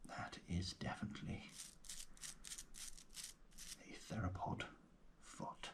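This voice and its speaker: A man speaking softly and gently in an ASMR roleplay as a guide, often slipping into a breathy whisper, murmuring without hurry. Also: slow pace. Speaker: host playing a paleontologist guide. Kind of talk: ASMR roleplay, soft narration of a fossil dig.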